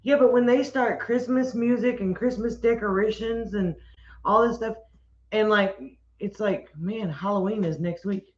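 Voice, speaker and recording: A woman chatting on a homestead livestream, rambling and friendly in tone, often restarting her sentences. Her voice sits at an ordinary pitch. The audio is roomy and a little dull. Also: moderate pace. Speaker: homestead channel co-host. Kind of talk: casual livestream chat.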